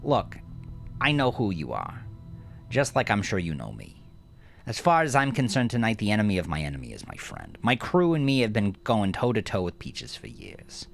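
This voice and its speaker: A brash gangster of an audio drama, acted out by a male narrator, teasing and sure of himself. His pitch jumps up and swings widely over clearly audible music, with drawling, amused exclamations. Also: huge pitch swings, normal speed, heavily acted. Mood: easygoing, confident. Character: swaggering, cocky, amused male gangster, foul-mouthed and casually charming.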